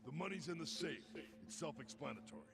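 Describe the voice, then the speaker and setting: An actor playing a stern, threatening warehouse boss in a video game, curt and matter-of-fact. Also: male voice, fairly high pitch, very animated, fast talker, creaky, loud music behind the voice. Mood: businesslike.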